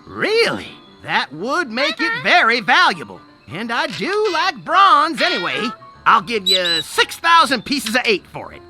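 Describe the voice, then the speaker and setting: An actor playing an eccentric, shrewd antique shopkeeper in an animated adventure game, haggling in a dry, dismissive way. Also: male voice, very high voice, a little slow, heavily acted. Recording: game music behind the voice.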